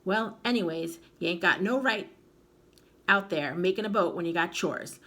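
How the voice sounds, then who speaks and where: A stubborn old frontier grandmother scolds and nags in a raised, drawling voice, put on by a woman reading a storybook. Her tone has a gravelly, husky edge and a sharp, bright bite. She bosses everyone around her. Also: mid-range voice, lively intonation, fairly quick, voice pushed up, exaggerated voice. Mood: grumbling. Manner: bossy, stubborn, rural drawl, nagging.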